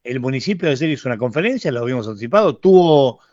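A man's voice: mid-range voice, expressive, racing, darkish tone, dry recording. Man, Argentine accent, radio host, chatty and digressive, casual talk, dry close sound, slightly dull studio recording.

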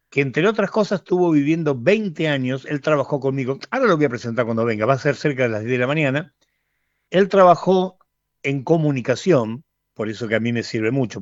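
A man's voice: mid-range voice, no room sound. Chatty Argentine man hosting radio, dull sound. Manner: chatty, digressive. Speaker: radio host. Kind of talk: casual live radio talk. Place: radio studio.

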